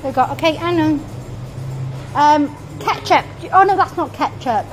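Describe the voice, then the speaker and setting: A woman's voice: high-pitched, unhurried, music in the background. A woman with a Welsh accent, a mother chatting and coaxing her child while filming a casual vlog on a handheld phone in a restaurant. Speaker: vlogger, mother. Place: indoor buffet restaurant. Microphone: handheld phone camera. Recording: noisy restaurant ambience.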